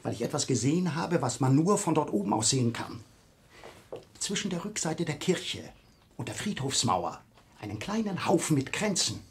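A man's voice: fairly high pitch, sing-song, normal speed, slight background noise. An actor playing an agitated, stubborn married man in a radio crime drama, insistent and suspicious in his delivery.

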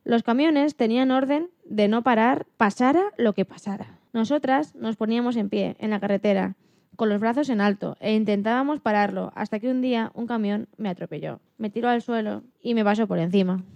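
A woman's voice: fairly high pitch, a little fast, creaky, a little mellow, dry recording, dull audio. A woman playing an animal liberation activist and mother who tells her story earnestly in an acted radio interview, stumbling slightly now and then. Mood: earnest, solemn.

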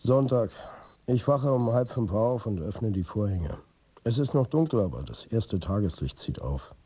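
A man's voice: lowish voice, lively intonation, normal speed, muffled recording, lightly acted. An actor playing a wry, weary football coach and family man, narrating a radio drama in a colloquial, confiding way. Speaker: narrator and protagonist.

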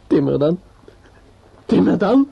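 A man's voice: high voice, big pitch swings, slow pace, gravelly, slightly rough, full character voice. An actor playing a drunk, bitter, grieving judge's son in a radio play, stammering and repeating himself as he broods. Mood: bitter brooding. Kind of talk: radio drama acting with inner monologue. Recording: muffled, noisy old radio play recording.

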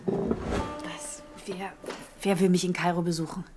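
A woman's voice: fairly low pitch, big pitch swings, measured pace, gravelly, loud music behind the voice, full character voice. An actress playing a worried, exasperated young woman in a radio drama, moving between dialogue and first-person narration. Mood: worried, incredulous. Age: young adult. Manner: exasperated, questioning.

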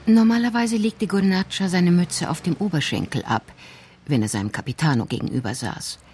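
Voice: medium pitch, a little fast, a little mellow, slight background noise, natural voice. A performer narrating a detective story in a radio crime drama, in a descriptive storytelling manner.

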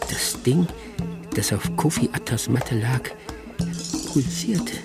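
An actor playing a wry old village hunter in his seventies, narrating a radio drama in earthy, unhurried storytelling. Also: mid-range voice, expressive, vocal fry, over loud music. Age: older.

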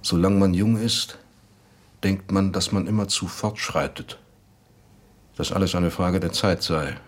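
Casting: solemn friend reading correspondence, low register, rough husky grain, radio play. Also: male voice, fairly even intonation, unhurried. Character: old friend solemnly reading a last letter. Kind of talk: radio drama, reading a letter aloud.

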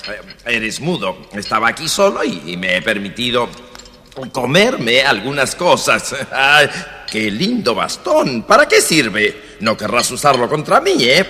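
A cowardly, chattering young fiddler from a storybook. His bright, highish voice swings wildly, repeating and exclaiming in unhurried bursts, with long reverb around it. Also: wildly swooping pitch, slight background noise, full character voice. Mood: nervous friendliness. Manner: stammering, repetitive, exclamatory. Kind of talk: audio storybook character acting. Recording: long reverb, faint music behind.

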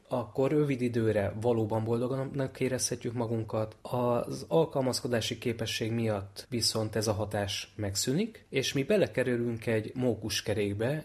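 Lowish-voiced man explaining as an expert. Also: darkish tone. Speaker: clinical psychologist and lecturer, expert guest.

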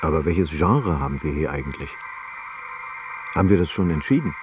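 An actor playing a cool, analytical narrator in a radio drama, with clipped phrasing. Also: male voice, very deep voice, lively intonation, normal speed, background noise. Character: cool analytical narrator reciting corporate jargon. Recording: music behind the voice.

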